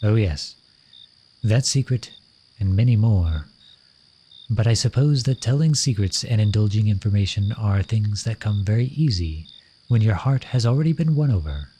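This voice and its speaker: Man playing a low-voiced lovesick courtly royal, crickets behind. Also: lively intonation, normal speed, mellow, a little hiss or hum, natural voice. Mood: wistful, tender. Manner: formal, courtly, flowery phrasing. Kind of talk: ASMR romantic roleplay, addressing the listener.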